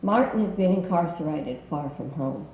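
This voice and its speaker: A woman reading scripted promotional narration for an explainer video in a measured, explanatory way. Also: low voice, lively intonation, darkish tone. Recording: roomy playback with background noise.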